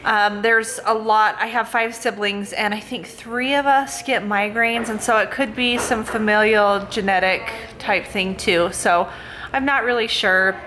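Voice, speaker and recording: A woman's voice: fairly high pitch, sharp tone. A woman vlogging in a casual, chatty way, rambling with lots of fillers. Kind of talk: casual chat to camera. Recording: noisy background hum.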